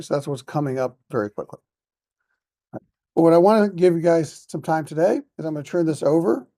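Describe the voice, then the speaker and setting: A middle-aged male professor giving brisk classroom announcements and checking in with quick tag questions, in a lecture room. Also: a little high, warm tone.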